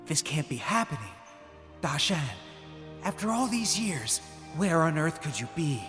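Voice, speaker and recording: A man's voice: fairly high pitch, sing-song, measured pace. An actor playing an earnest, loyal young American man who grew up in China and is searching for a lost friend. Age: young adult. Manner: earnest and emotional, rising to pleading. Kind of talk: audio drama acting. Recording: music playing underneath.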